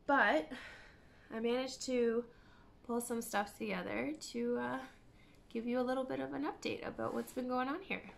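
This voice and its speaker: A woman in her thirties from Canada hosting a knitting video podcast, chatting casually and meandering, hoarse from a cold. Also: on the high side, fairly even intonation, normal speed. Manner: chatty, meandering, self-correcting. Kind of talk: casual chat to camera. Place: living room by a window, indoors.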